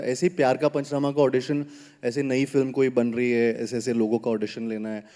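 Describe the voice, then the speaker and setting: Man, film actor guest, mellow voice, chatty, live event hall with long reverb. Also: medium pitch. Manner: relaxed, chatty, switching into dramatic monologue delivery. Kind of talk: on-stage interview at a live event.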